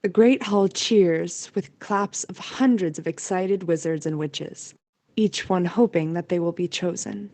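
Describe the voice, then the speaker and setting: A woman's voice: fairly low pitch, a little slow, darkish tone, no room sound. A woman narrating a scripted audio drama as its storyteller.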